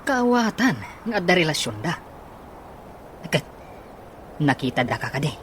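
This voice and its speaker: Supportive young best buddy, Ilocano accent, radio serial style, faintly noisy track. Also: male voice, a little high, big pitch swings, moderate pace, a bit bright. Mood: consoling. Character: supportive young male buddy, consoling and upbeat. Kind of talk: Ilocano radio drama acting.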